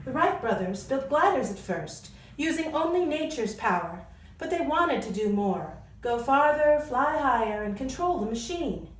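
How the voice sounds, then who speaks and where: A woman's voice: high-pitched, expressive, normal speed. A woman reading scripted storytelling narration as the narrator of a radio play. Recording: roomy echo, distant mic, music behind.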